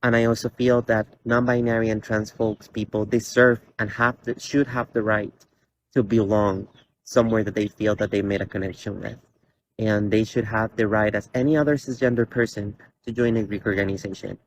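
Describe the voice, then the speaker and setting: A young man in his twenties from Costa Rica gives an earnest, halting personal statement with many fillers and restarts, standing in a large indoor theater. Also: on the low side, fairly even intonation, slight background noise, low-quality recording. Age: 20s. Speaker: college student.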